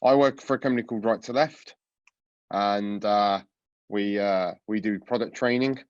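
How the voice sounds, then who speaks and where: Man, congested with a cold, low voice, relaxed webinar talk, muffled dry call audio. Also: normal speed, clean tone, no room sound. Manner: relaxed, many fillers and restarts. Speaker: product coach giving a webinar talk. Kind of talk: presenting a webinar. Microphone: video call.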